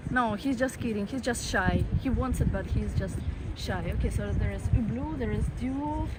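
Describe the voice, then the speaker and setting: A woman's voice: a little high, moderate pace. A woman hosting a live-stream walking tour, chatting casually to her viewers while walking outdoors in a city. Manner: chatty, reads out chat comments. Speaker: travel vlogger. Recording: street noise and traffic behind.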